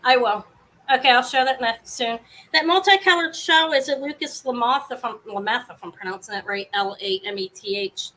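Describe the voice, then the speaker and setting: Brief: woman, live-sale host, loud carrying voice, rambly and self-interrupting, home setup. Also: a little high, normal speed, low-quality recording. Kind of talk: casual live sale chat. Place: home apartment, fan running.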